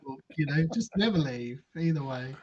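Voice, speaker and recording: A man joining a game stream, making dry jokes with trailing pauses and filler sounds. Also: mid-range voice, lively intonation, darkish tone. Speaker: player. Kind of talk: casual banter. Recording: dull lo-fi mic with faint hiss.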